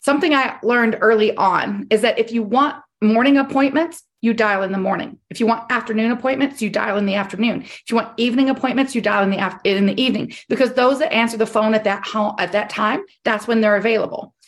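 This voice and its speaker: Woman sales coach, slightly high voice, strained and hoarse, firm and repetitive. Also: fast, few pauses. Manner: direct, emphatic, repeats key points. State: losing her voice. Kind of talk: coaching on a group call.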